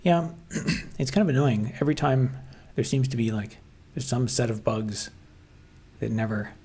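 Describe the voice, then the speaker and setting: A man with a tired, slightly hoarse voice, chatting casually on a livestream about his coding project. He clears his throat often and talks hesitantly with lots of fillers. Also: medium pitch, moderate pace, dark tone. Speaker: software developer livestreaming. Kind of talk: casual livestream chat, answering viewers.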